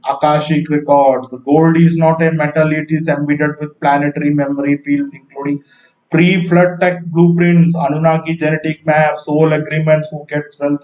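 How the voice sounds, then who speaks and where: A man's voice: a little high, darkish tone, roomy sound, recorded from a distance, dull audio. A man with an Indian accent, talking in an earnest, rambling way, with small laughs and a habit of saying "guys". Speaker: spiritual video host. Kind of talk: casual monologue to camera.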